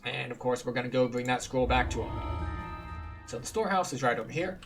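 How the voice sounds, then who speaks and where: Casting: male gaming guide host, informal and explanatory, medium pitch, faint game music behind. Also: expressive, brisk. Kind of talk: casual game walkthrough commentary.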